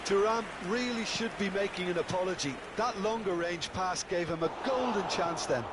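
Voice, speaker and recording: A man's voice: high voice, normal speed. A man giving opinionated, analytical co-commentary on a football match in a video game, with stadium ambience behind him. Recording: clear game crowd noise behind.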